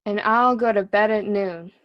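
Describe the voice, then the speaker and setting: A woman's voice: medium pitch, normal speed, dull audio, natural voice. A woman playing a cheeky, riddling court fool in a Shakespeare reading. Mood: teasing, wry. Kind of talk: remote reader's theatre Shakespeare.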